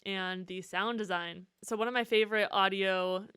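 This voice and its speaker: A woman's voice: mid-range voice, fairly even intonation, normal speed, pushed and bright, clear, resonant tone. A woman giving a casual, enthusiastic walkthrough of music production in informal, slangy language, as a musician teaching. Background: music from the track being demonstrated.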